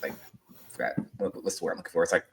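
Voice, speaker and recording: A man's voice: quick pace, distant mic, background noise. A man in his thirties co-hosting a sports podcast, telling stories in a rambling way with lots of fillers. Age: 30s. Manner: rambling, filler-heavy storytelling. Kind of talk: casual podcast chat.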